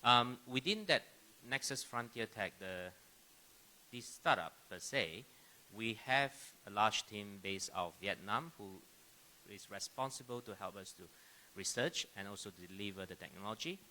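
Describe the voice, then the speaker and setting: A middle-aged man from Malaysia introducing himself on a panel, speaking in a halting way with repeated words. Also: lowish voice, animated, bright, forceful tone, rough recording. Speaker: panelist, academic and AI company founder. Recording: live stage microphone with clear background noise.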